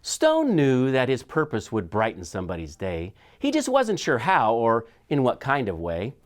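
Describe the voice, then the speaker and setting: A man reads a children's story aloud in a mid-range voice. His intonation swings widely, with dramatic, animated shifts that suit picture book storytelling. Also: mid-range voice, moderate pace. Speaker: school superintendent reading aloud.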